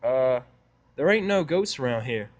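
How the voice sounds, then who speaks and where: A man's voice: mid-range voice, animated, unhurried, highs cut off, lightly acted. A man voicing a friendly, sly country mare who runs a farm, in a folksy audio drama style. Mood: puzzled. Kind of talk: fan fiction audio drama acting.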